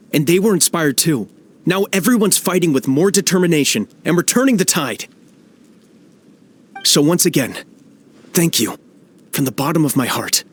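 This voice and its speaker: A man's voice: medium pitch, close to an everyday voice. A man voicing a spirited, grateful young soldier in a video game, speaking sincerely and fervently.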